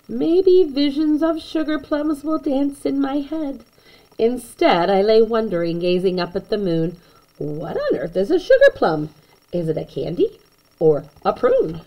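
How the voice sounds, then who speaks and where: A woman reading a children's picture book aloud in an expressive way, with chatty asides to young listeners. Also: mid-range voice, low-quality recording. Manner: animated, slow and deliberate storytelling, chatting to young listeners.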